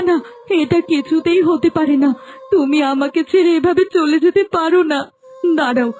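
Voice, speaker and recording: A woman's voice: very high-pitched, darkish tone, a little hiss or hum. A woman playing a devoted young woman in love, pleading melodramatically in a cartoon.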